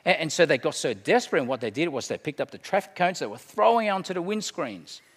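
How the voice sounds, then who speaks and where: A middle-aged man preaching a sermon, emphatic, swinging between hushed and loud delivery. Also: fairly high pitch, sing-song, fast talker. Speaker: pastor. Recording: long hall reverb.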